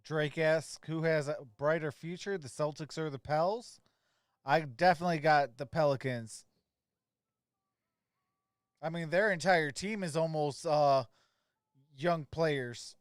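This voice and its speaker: A man's voice: fairly high pitch, unhurried, pauses often, clean tone, no echo. A man doing play-by-play for a basketball livestream and chatting with viewers, recorded dry and close.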